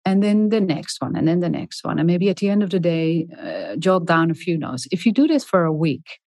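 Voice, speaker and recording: Calm, soft-spoken woman, low voice. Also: no room sound, studio quality. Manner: calm, soft, deliberate, with many ums. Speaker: guest expert on leadership. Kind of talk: podcast interview.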